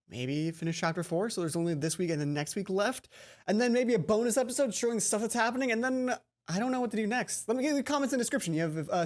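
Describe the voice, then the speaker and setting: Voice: mid-range voice, a little mellow. A let's play host with a rambling, playful, self-interrupting way of talking, reading game text aloud and commenting on it. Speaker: gaming video host. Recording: game music under the voice. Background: video game music.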